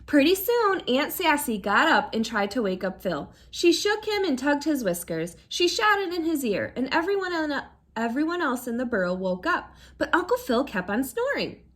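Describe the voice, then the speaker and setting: A woman's voice: high-pitched, very animated, normal speed, few pauses, fairly bright tone. A woman reading a picture book aloud to children, expressively, as a teacher who puts questions to listeners. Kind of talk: animated storybook read-aloud with asides to listeners.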